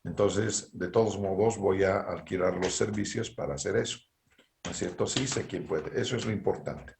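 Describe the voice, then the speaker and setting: Man, Bolivian Spanish accent, middle-aged, engineering lecturer, gravelly, roomy echo. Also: low-pitched, moderate pace, noisy background. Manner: lecturing, frequent rhetorical "¿no es cierto?" tags. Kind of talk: online university lecture. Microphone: video call.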